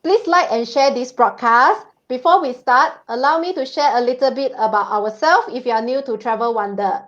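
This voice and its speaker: Bubbly high-voiced woman host. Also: moderate pace, a bit bright, muffled recording. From Singapore. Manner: bubbly, laughs often. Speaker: co-host of a travel livestream. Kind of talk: casual live-stream chat.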